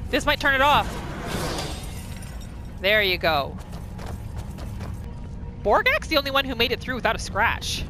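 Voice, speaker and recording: A woman narrates a roleplay video game as its host. Her voice sits high and swings widely in pitch as she dramatizes her character's story in the third person. Game music plays behind her. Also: measured pace, pauses often. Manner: expressive, exclamatory, dramatizing.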